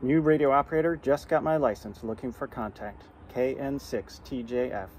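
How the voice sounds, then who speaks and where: A middle-aged American man making amateur radio calls and talking casually to camera outdoors, spelling out call signs deliberately. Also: mid-range voice, darkish tone. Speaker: amateur radio beginner, vlogger. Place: outdoors in a yard and porch. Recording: outdoor background noise.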